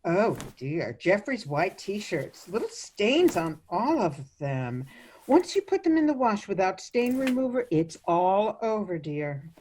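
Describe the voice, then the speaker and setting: A woman acting in a radio play as a sweetly meddling, fussy old mother-in-law with a genteel, gently disapproving manner. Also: medium pitch, big pitch swings, slow, slight background noise. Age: older.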